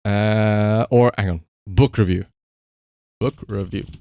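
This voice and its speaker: A man's voice: lowish voice, steady tone, slow, warm tone, no echo. A man giving casual livestream commentary in a rambling, conversational, emphatic way, as the host talking to viewers.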